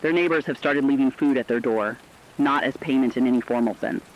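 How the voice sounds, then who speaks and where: A man narrating scripted human-interest stories for a radio drama in a sober, deliberate way. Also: medium pitch, a little hiss or hum. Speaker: radio storyteller. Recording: dull lo-fi radio sound.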